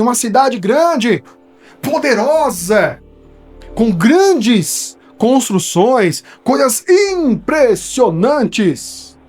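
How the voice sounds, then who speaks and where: A man from São Paulo with a paulistano accent, explaining a Bible verse in a studio in a conversational teaching style that keeps checking in with his listeners. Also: high-pitched. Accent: São Paulo Portuguese. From São Paulo, Brazil. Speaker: preacher.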